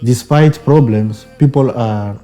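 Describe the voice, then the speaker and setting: A man's voice: mid-range voice, slow, warm tone. A young man with a Congolese accent giving a reflective interview, hesitant and searching for words, often repeating phrases. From Democratic Republic of the Congo. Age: young adult. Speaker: Benedictine monastic brother, interviewee. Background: background music.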